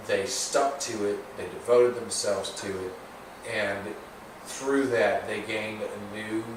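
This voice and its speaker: A man in his thirties gives an earnest, motivational little speech on a casual podcast. His voice sits a bit low. He is well back from the mic in a very echoey room with clear background noise. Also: far from the mic. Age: 30s. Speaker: podcast host.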